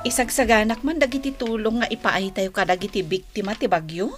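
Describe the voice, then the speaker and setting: An actress playing a compassionate, generous married woman in an Ilocano radio drama, gentle and encouraging. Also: medium pitch, fairly even intonation, a little fast, soft background music, natural voice.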